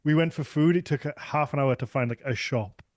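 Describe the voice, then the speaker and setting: A man's voice: on the high side, brisk, no echo, studio quality. A man hosting a podcast in casual chat, rambling and trailing off mid-thought. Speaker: podcast host.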